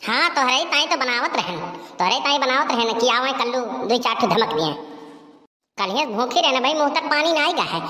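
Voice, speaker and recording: A woman's voice: high voice, animated, moderate pace, sharp tone. A woman voicing a grumbling, scolding rustic village aunt in a cartoon comedy, with an Awadhi accent and an earthy manner. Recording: long hall reverb, music behind.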